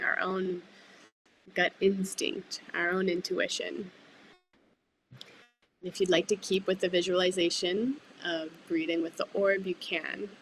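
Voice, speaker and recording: A woman leading a guided breathwork meditation, speaking gently and soothingly with unhurried pauses. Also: on the low side, a little hiss or hum, poor audio. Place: live online group call.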